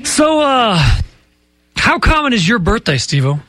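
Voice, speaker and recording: A man's voice: very high-pitched, sing-song, unhurried, fairly bright tone. A man hosting a morning radio show in a studio, chatty and flustered, stumbling over words. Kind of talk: casual morning radio banter. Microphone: studio microphone.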